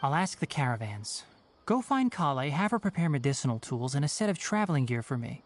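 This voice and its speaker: A man's voice: mid-range voice, big pitch swings, fairly quick, faint music behind, natural voice. A man voicing a young, knowledgeable, no-nonsense forest watcher and healer in a video game, articulate and matter-of-fact. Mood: serious, explaining. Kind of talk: English game voice acting.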